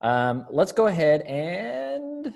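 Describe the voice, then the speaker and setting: A man in his thirties thinking aloud in a halting way as he gives casual instructions to a group on a video call. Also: on the high side, very animated, gravelly, a little mellow. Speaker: director leading a readers theater rehearsal. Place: indoor room, on a video call.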